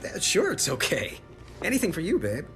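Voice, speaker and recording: A man's voice: high voice, wildly swooping pitch, moderate pace, over music, exaggerated voice. A man playing a young, nerdy, wisecracking and nervous superhero in a video game. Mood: awkward, affable. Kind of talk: video game cutscene acting.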